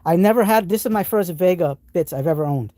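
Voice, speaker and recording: Mellow-voiced New England man, enthusiastic. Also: high voice, expressive, brisk, no echo, clean studio sound. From New England, United States. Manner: enthusiastic, rambling with frequent restarts. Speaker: host of a live tool stream. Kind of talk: casual live stream, showing tools and answering chat.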